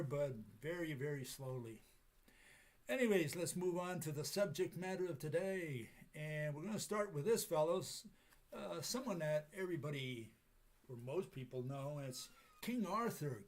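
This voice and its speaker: A man with a raspy, hoarse voice from a cold, giving a casual lecture with many pauses and fillers. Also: on the high side. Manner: halting, many fillers, chuckles at himself.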